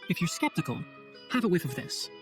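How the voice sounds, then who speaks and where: A man's voice: sits high, sing-song, fairly quick. An actor playing a clever, exacting young male chief forest ranger in a video game, articulate and instructive with dry sarcasm. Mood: cautioning. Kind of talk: video game cutscene acting. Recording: faint music behind the voice.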